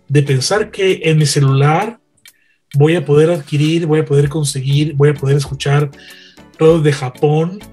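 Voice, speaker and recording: A man's voice: a little high, darkish tone, slightly rough. A man, a radio veteran chatting and telling anecdotes emphatically, repeating phrases for effect. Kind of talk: animated anecdote-telling in a panel chat.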